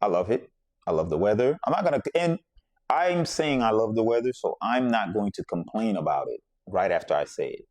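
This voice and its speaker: Low-voiced man telling his own story. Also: very animated, fairly quick, darkish tone, no echo. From Bahamas. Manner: reflective, conversational, circles back to repeat points. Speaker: podcast host. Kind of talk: personal storytelling monologue.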